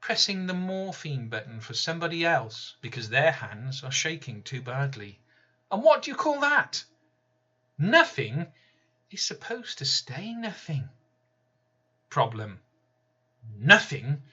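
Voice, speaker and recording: A man reading his own poem slowly, measured, with sudden loud emphatic rises and soft drops. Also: mid-range voice, very animated, husky. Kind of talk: slow spoken-word poetry reading. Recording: slightly dull lo-fi recording.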